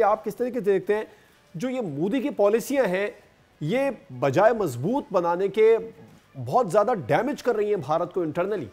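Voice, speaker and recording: A Pakistani man hosts a television current affairs show in a fairly high male voice. He talks as a presenter, putting points and questions to guests. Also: a little mellow. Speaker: talk show host.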